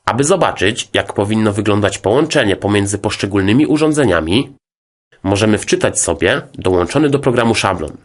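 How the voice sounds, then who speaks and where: A man narrating a scripted tutorial as a music software instructor, in a formal, methodical, explanatory way. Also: fairly low pitch, lively intonation, a little fast.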